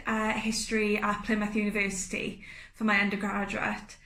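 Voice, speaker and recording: A young woman with a South Welsh accent introducing herself in a bright, chatty, friendly way. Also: fairly high pitch, roomy sound. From South Wales. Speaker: PhD student. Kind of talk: casual video introduction.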